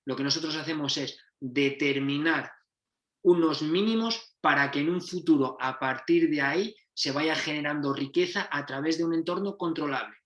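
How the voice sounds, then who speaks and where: A man's voice: a little high, moderate pace, fairly bright tone. A man, a football coach giving a methodology talk, explaining step by step and often correcting himself mid-sentence. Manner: explanatory, restarts and self-corrections. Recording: roomy echo.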